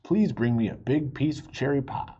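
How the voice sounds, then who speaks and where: A man voicing a big, hurried, no-nonsense truck driver in a children's storybook, blunt and plain-spoken. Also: mid-range voice, lively intonation, warm tone, dull audio, a little hiss or hum, natural voice.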